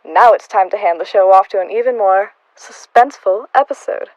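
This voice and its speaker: A woman's voice: medium pitch, brisk, a little mellow, no room sound, dull audio. A woman announcing scripted radio drama credits.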